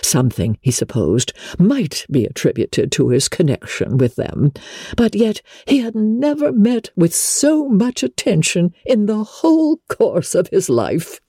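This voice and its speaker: A woman giving a dramatic, measured audiobook reading of a classic novel, with theatrical emphasis as narrator. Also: medium pitch, big pitch swings, warm tone, breathy edge, no room sound, clean studio sound.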